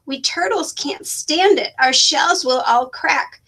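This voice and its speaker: A woman's voice: sits high, slow pace, bright, forceful tone, full character voice. A woman voicing a meek, suffering little male turtle in a children's storybook, pleading politely and plaintively. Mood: pained pleading. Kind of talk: children's storybook read-aloud.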